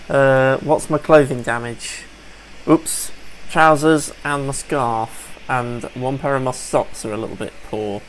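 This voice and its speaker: A man's voice: medium pitch, fairly even intonation, takes it slowly, slight background noise, low-quality recording. A man giving casual, upbeat commentary over a survival game, a bit sniffly from allergies and chatting to viewers as folks. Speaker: let's play gamer.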